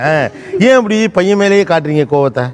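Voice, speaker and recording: A man's voice: sits high, expressive, a little fast. A man hosting a talk show, putting teasing questions to his guests over background music. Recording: music behind the voice.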